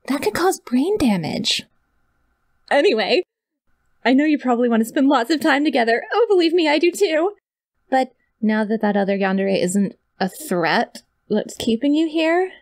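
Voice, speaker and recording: Woman acting a high-voiced, adorkable, clingy yandere girl. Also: darkish tone, no room sound. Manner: awkward, halting, rambling, gushing. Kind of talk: ASMR audio roleplay spoken to the listener.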